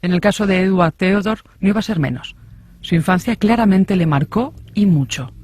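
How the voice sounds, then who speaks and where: A woman's voice: mid-range voice, normal speed, darkish tone, slightly rough. A woman reading scripted true-crime documentary narration.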